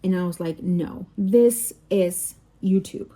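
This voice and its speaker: Mellow-voiced Mexican woman chatting casually. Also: on the low side, slow. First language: Spanish. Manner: animated, digressive, self-interrupting. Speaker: vlogger and crafter, telling work anecdotes.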